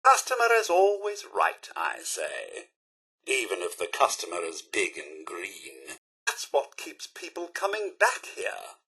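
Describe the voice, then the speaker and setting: An actor playing a prim, loyal and fussy robot butler in a video game, with a British accent and pompous, exaggeratedly polite diction. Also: male voice, lowish voice, wildly swooping pitch, measured pace, pushed and bright, husky, exaggerated voice. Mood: snooty, polite. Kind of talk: theatrical video game character acting.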